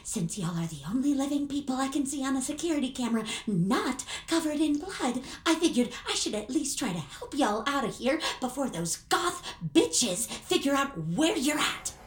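A woman's voice: high voice, very animated, moderate pace, few pauses, echoey room. A woman playing a sassy, blunt security guard in her twenties in an audio drama, bossy and slangy. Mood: sassy, brisk. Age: 20s.

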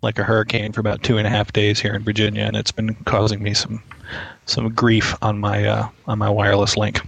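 Gravelly low man, weary. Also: completely flat delivery, fairly quick, fairly bright tone, rough recording. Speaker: podcast panelist. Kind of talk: casual podcast banter.

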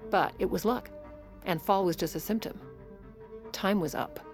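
A woman's voice: mid-range voice, normal speed, lightly acted. An actress playing a steely, protective First Lady in an audio drama, composed and commanding in both dialogue and confiding narration. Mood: confiding, grim. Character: steely, protective First Lady covering for her ailing husband. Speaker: lead character and narrator. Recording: music under the voice.

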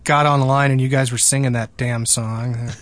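A man's voice: medium pitch, steady tone, normal speed, a bit bright, no echo. A man chatting casually as a panelist on a podcast. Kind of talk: casual group chat.

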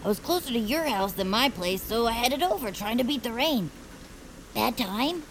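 A woman's voice: on the high side, animated, normal speed, slight background noise, poor audio. A woman voicing an eager, oblivious, chatty best friend in a family audio drama, breezy and folksy.

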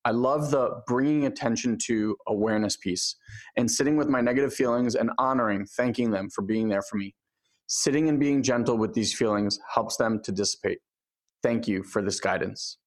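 A man's voice: medium pitch, moderate pace. A man hosting a podcast who introduces guests and promotes products in an upbeat, enthusiastic manner. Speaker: podcast host. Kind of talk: enthusiastic show host.

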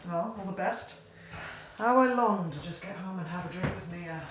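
A woman's voice: on the low side, moderate pace, lightly acted. A woman playing a restless, demanding partner in an audio play, emphatic and questioning. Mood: apologetic, tired. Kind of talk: audio drama acting. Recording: very echoey, distant, clearly noisy.

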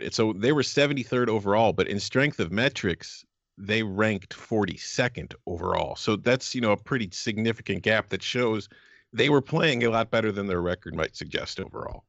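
A man co-hosting a podcast, his voice phlegmy as he keeps clearing his throat while he talks casually. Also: on the low side, lively intonation, a little fast, fairly bright tone, dry recording. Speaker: podcast co-host. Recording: dull lo-fi sound.

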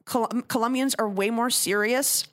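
A woman from Venezuela, a guest comedian chatting casually on a podcast, animated and quick to slip into impressions. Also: on the high side. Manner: animated, slips into Spanish impressions.

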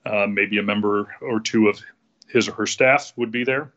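A man's voice: lowish voice, fairly even intonation, normal speed, fairly bright tone, muffled recording. An American man, a former official, explaining a procedure step by step in an interview, with frequent hesitations.

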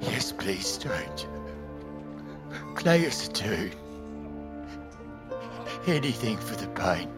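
An agonised hospital patient in an audio drama. The speech is extremely slow and swings widely in pitch, weak and pleading, over loud music. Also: male voice, very animated. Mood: pained pleading. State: in pain, coughing.

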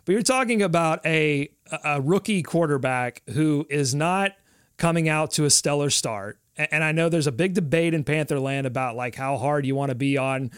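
A man's voice: a little high, normal speed, clean tone, dry recording, clean studio sound. A man co-hosting a sports podcast in casual discussion, conversationally thinking aloud as he talks.

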